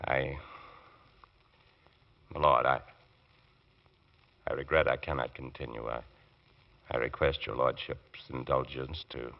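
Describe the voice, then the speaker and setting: Beaten down lawyer, super deep voice, halting and humble, old radio play, dull sound. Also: male voice, creaky, exaggerated voice. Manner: halting, faltering with repeated words. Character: defeated, self-reproaching male defence barrister, humble and weary. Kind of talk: 1940s radio drama acting.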